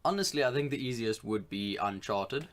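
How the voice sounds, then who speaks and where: A man's voice: mid-range voice, lively intonation, moderate pace. A man co-hosting a podcast, chatting casually about films and games. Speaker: podcast co-host.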